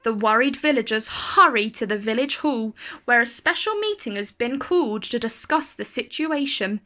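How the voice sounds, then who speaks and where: A woman reading a children's picture book aloud as a storyteller, with deliberate phrasing and dramatic emphasis. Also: fairly high pitch, animated, sharp tone.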